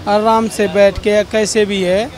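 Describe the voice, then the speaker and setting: High-voiced, plain-spoken Indian man. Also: flat delivery, unhurried. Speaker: member of the public giving opinion. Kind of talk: vox pop opinion.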